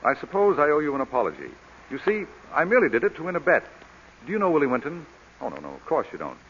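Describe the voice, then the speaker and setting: A dull vintage radio drama recording of a suave English lord. His voice is high for a man and swings about brightly as he teases and flirts with smooth cheek. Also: wildly swooping pitch, fast talker, slight background noise, heavily acted. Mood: flirtatious, teasing. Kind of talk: old-time radio drama acting.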